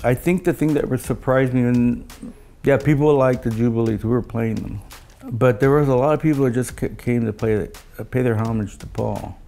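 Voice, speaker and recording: An older man telling stories in a casual, halting way, as an engineer looking back in an interview. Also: medium pitch, creaky, dark tone. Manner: halting, anecdotal storytelling with quoted dialogue. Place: living room of a home, indoors.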